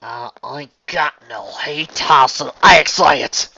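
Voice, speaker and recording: A man voicing a plain-spoken country farm mare who talks haltingly and stiffly, straining to sound refined. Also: sits high, a bit bright, highs cut off, a little hiss or hum, pitched above the performer's own voice. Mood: grumbling.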